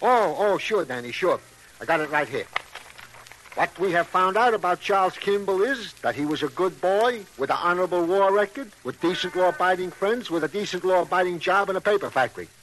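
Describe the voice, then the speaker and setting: An actor playing an earnest, simple-hearted police sergeant with roundabout, ungrammatical speech, in an old radio crime drama. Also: male voice, high-pitched, animated, brisk, fairly bright tone, poor audio. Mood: earnest, rambling. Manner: ungrammatical, roundabout, stilted phrasing.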